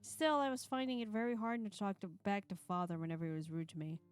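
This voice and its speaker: A woman streamer with a mid-pitched voice reads a visual novel aloud and slips into casual banter. She sounds somewhat tired, and soft game music plays behind her. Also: lively intonation, quick pace, smooth, no echo.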